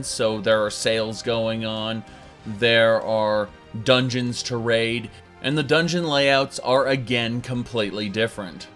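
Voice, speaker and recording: A man talking through a retro game review in a chatty, enthusiastic way. His voice sits in an ordinary middle range. Faint music runs softly under his talk. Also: mid-range voice. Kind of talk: casual video review.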